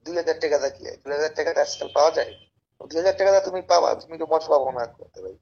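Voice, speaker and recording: A Bangladeshi man making an informal phone sales pitch in a pushy, persuasive, repetitive way. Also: very fast, creaky, darkish tone, husky, lo-fi sound. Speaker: caller pitching a service as a tout.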